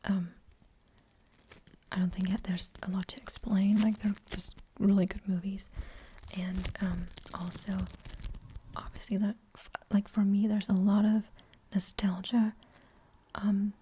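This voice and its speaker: A young woman with a light German accent whispers her way through an ASMR Q&A, hesitating and rambling with plenty of fillers. Her whisper sounds mellow and dark, and faint noise sits underneath. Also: mid-range voice, little pitch movement, unhurried, poor audio. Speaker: ASMR creator.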